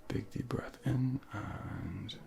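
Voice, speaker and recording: A man speaking softly and breathily in an ASMR medical role play as a junior doctor, gently reassuring and giving step-by-step instructions into a close binaural microphone. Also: mid-range voice, slow pace. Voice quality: breathy, whispery, with heavy creak.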